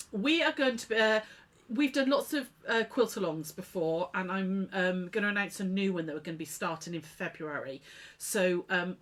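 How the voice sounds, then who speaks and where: A middle-aged woman with a stuffy, snuffly nose, chatting casually to live viewers from her sewing studio as a craft shop host. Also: mid-range voice. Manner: chatty, warm greetings to viewers, many fillers. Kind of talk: casual live-stream chat. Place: unheated home sewing studio.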